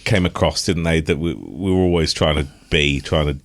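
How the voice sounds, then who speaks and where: A man's voice: rumbling low, normal speed, vocal fry. A middle-aged man with a southern English accent, co-hosting a casual comic chat with wry, rambling asides. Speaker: podcast co-host.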